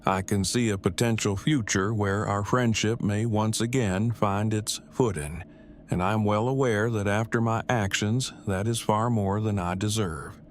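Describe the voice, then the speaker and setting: A man's voice: lowish voice, steady tone, a little slow, a little hiss or hum, natural voice. A man playing a wordy, self-deprecating, heartbroken nerd who speaks in stilted formal phrases in an audio drama. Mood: formal, measured.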